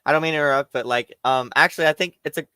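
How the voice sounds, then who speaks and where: A man's voice: on the high side, very fast, bright, forceful tone, clear, resonant tone, no echo. A man co-hosting a political stream, talking casually with lots of hesitations. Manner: casual, full of "um" and "like". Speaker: stream co-host. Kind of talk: political commentary chat.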